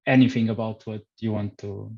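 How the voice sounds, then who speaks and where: A man's voice: on the low side, fairly even intonation, brisk, creaky, a little mellow, dull audio. A young man with a Portuguese accent, a podcast guest answering interview questions with many hesitations and restarts. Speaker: podcast guest, frontend developer.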